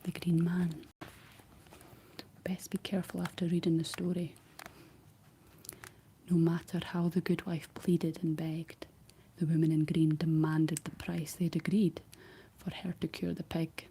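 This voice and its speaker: A woman whispering a folk tale aloud in a soft ASMR style, stopping for playful asides. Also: lowish voice, level tone, unhurried, long pauses, slight background noise, rough recording. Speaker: storyteller. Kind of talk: whispered ASMR story reading.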